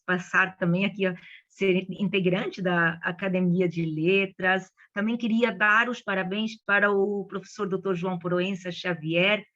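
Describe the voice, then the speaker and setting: A woman's voice: medium pitch, fairly bright tone. A woman whose first language is Spanish, speaking Portuguese in chatty opening remarks at an online conference, with many restarts. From Venezuela. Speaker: invited lecturer. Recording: dull lo-fi audio.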